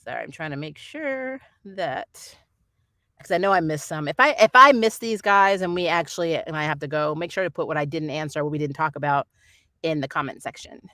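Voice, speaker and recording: A woman's voice: low voice, expressive, fairly quick, pushed and bright, no echo, studio quality. A middle-aged woman hosting a casual livestream, chatting in a rambling, opinionated way as she reviews shows and answers viewer comments. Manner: rambling, digressive, opinionated, often laughing. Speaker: host of a nerd culture review channel.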